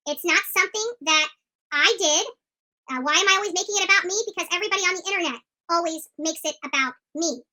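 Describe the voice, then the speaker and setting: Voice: very high voice, quick pace, bright, forceful tone, low-quality recording. A true crime commentator who speaks in an opinionated, emphatic and combative way, often repeating phrases for force. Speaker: online commentator.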